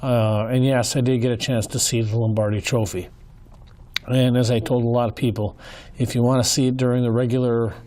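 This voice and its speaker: A man hosting a casual talk show, hoarse, speaking in an earnest, folksy way with many fillers and restarts. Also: medium pitch, mellow. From Minnesota, US. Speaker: host. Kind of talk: casual commentary.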